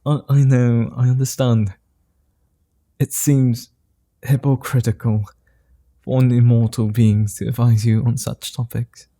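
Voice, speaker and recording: A flirtatious, kind-hearted vampire, voiced by a man, murmurs in an audio roleplay. His tone is very dark and gravelly with a husky edge, and he takes his time over every word. The sound is dry and close. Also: medium pitch, level tone, dry recording. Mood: tender. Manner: soft, slow, flirty and teasing. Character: gentle flirtatious male immortal vampire. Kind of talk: ASMR roleplay.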